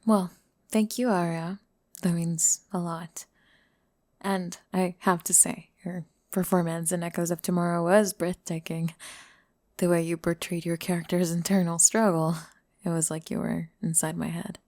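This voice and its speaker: A woman playing a gentle, earnest singer-songwriter in a scripted ASMR roleplay, soft and hesitant. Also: lowish voice, flat delivery, moderate pace, no echo, studio quality, voice pushed down, lightly acted. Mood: warm gratitude.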